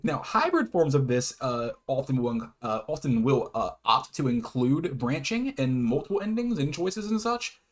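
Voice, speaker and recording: A man with a mid-pitched voice presents an informal online explainer. He moves between reading prepared lines and talking off the cuff. His delivery is halting, with stumbles and self-corrections, and he tends to chuckle between phrases. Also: sing-song. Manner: hesitant, stumbles over words and self-corrects. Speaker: YouTube host presenting his own definitions. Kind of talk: informal explainer, half read, half ad-libbed.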